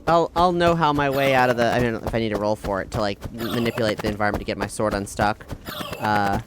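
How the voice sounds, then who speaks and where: A woman playing a tabletop game, talking casually and haltingly, often repeating herself. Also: rumbling low, noisy background. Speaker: player.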